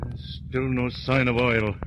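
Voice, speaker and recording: An actor playing an earnest, curious detective sidekick with a questioning manner in a 1940s radio drama. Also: male voice, mid-range voice, flat delivery, unhurried, close to an everyday voice. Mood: puzzled. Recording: very noisy old radio recording.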